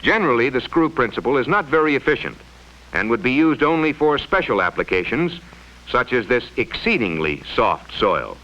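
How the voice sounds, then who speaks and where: Casting: male narrator for a mid-century science film, explanatory and formal, animated intonation, muffled lo-fi vintage sound. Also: mid-range voice, moderate pace.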